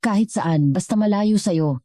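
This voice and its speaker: A woman's voice: mid-range voice, unhurried, warm tone, no room sound, clean studio sound, pitched above the performer's own voice, lightly acted. A woman voicing a despairing, fed-up young woman in a dramatic story, complaining as she gives up on her partner.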